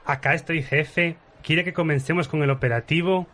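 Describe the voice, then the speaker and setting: A man playing a dutiful aristocratic henchman in a parody radio soap, deferential and deadpan. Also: fairly high pitch, normal speed, close to an everyday voice. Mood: obedient, deadpan.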